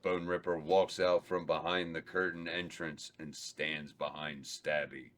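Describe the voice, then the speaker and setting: A man reading stage directions aloud at a table read, slow and a little halting. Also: a bass voice. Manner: slow, flat, stumbles over words. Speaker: script reader of stage directions.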